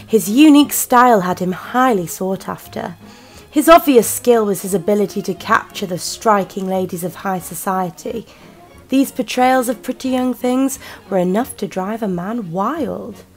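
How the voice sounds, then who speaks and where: Woman playing a wry detective telling an old case, measured radio play narration, bit of music behind. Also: mid-range voice, darkish tone. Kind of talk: scripted radio drama narration.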